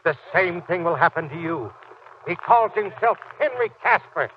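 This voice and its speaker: A fiery, plain-spoken ex-Marine family man in a 1940s radio drama. His voice sits on the high side for a man and blusters along. The recording is muffled, with some noise. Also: lively intonation, moderate pace. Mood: bitter, accusing. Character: gruff, stubborn ex-Marine father, suspicious and fiery.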